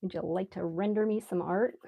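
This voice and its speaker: A woman with a relaxed voice chats casually on a live stream as she draws, rambling unhurriedly and thinking aloud. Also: medium pitch, fairly quick, creaky, lo-fi sound, noisy background. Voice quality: relaxed, soft-edged voice. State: relaxed, mildly sedated after anesthetic. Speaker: live stream artist host. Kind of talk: casual live stream chat while drawing.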